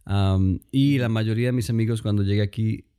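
Colombian man in his thirties with a Mexican-tinged accent, low mellow voice, loose rambling interview storyteller. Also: brisk, clean tone. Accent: Mexican-influenced Spanish. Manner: relaxed, rambling, frequent fillers and restarts. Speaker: guest, music producer.

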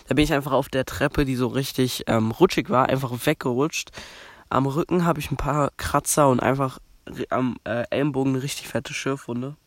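A young podcast host from Germany talks on his own in a loose, rambling way, full of fillers and restarts. He has a cold, and his voice sits at a middling pitch. The recording is dry and close, with no room sound. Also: fairly bright tone, no room sound. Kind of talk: casual monologue.

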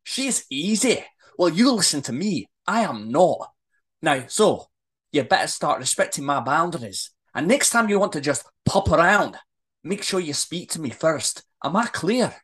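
A woman's voice: rumbling low, sing-song, normal speed, breathy edge, exaggerated voice. A woman playing a feisty, indignant Scottish woman in acted drama dialogue, with blunt, colloquial delivery. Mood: angry ranting. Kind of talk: amateur script read-through, acted dialogue.

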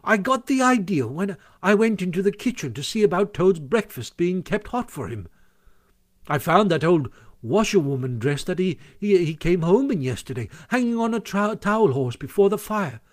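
A man voicing an excitable, boastful little mole in a storybook, telling his tale with eager glee. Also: sits high, moderate pace, voice pushed up. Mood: gleeful, pleased. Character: chirpy, boastful, excitable small male mole.

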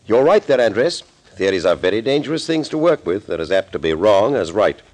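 A restless, doubting doctor in an old radio thriller. The voice is very deep yet moves around expressively. He speaks in a dry, formal way. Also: big pitch swings, a little fast, a little mellow. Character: restless, skeptical male doctor. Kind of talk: 1940s radio acting.

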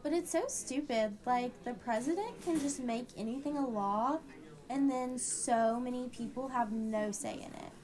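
A woman playing an excitable young woman who asks curious questions in girlish slang, in a scripted amateur radio drama. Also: on the high side, normal speed, close to an everyday voice. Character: excitable young woman, curious about how government works.

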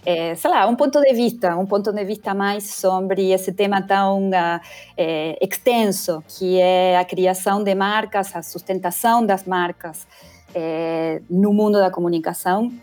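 A middle-aged woman with an Argentine accent, a Spanish speaker talking as a podcast guest, pausing often with fillers and restarts. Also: medium pitch, fairly even intonation, a little mellow, soft background music. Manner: hesitant, many fillers and restarts. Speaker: guest brand consultant. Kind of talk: podcast interview.

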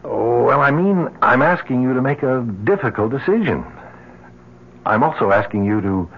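A man's voice: mid-range voice, expressive, moderate pace, slightly rough. A man playing a firm, persuasive doctor in an old-time radio drama, professional and slightly hesitant. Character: firm, measured, authoritative male doctor. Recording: muffled, faintly noisy vintage radio.

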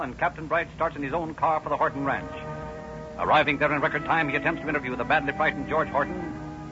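A man performs a brisk, formal narrator of an old radio crime drama. His delivery is fast, clipped and slightly high. Music plays behind the lo-fi vintage recording. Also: close to an everyday voice. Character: brisk, earnest male police-show narrator. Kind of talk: 1930s radio scripted narration.

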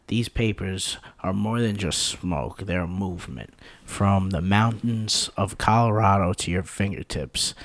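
A man talking as a podcast co-host in a casual, unpolished way. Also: low-pitched. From New York, United States. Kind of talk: casual, profane podcast chat.

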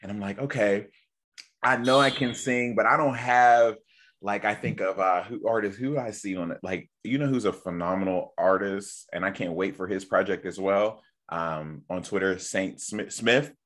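Deep-voiced guy hosting a chill podcast, talks fast and excited, lots of um and like. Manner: chatty, animated, lots of fillers. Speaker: podcast host. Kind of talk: casual podcast conversation.

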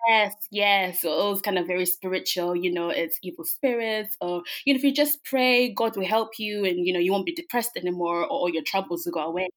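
A woman's voice: fairly low pitch, fairly even intonation, fairly quick, hardly any pauses. A woman in her twenties from Scotland, born in Zimbabwe, giving reflective, conversational answers as an interview guest. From born in Zimbabwe, grew up in Scotland. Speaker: podcast guest, psychology and counselling graduate.

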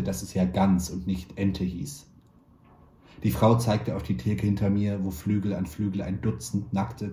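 A man reading a short story aloud in a calm, measured way, lightly voicing the dialogue. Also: sits low, roomy sound. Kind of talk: literary reading.

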